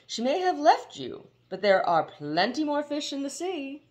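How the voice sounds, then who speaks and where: A woman voicing a slick, pompous male political boss from a storybook, full of overblown, flattering politeness. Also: sits high, a little slow, pitched above the performer's own voice, heavily acted. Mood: tactless delight. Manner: unctuous, overblown formal politeness. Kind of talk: storybook character reading.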